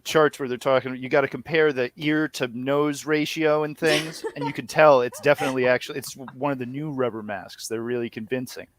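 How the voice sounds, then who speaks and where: A man chatting casually as a podcast guest, halting and correcting himself as he goes. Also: mid-range voice, steady tone. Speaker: podcast guest, comedy writer and performer. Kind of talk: casual chat.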